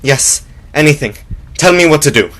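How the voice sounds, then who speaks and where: A man's voice: fairly high pitch, lively intonation, moderate pace, a bit bright. A young man in a radio drama playing an anxious, devoted young lover, speaking earnestly and pleadingly. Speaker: actor in a school radio drama. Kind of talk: theatrical radio drama acting.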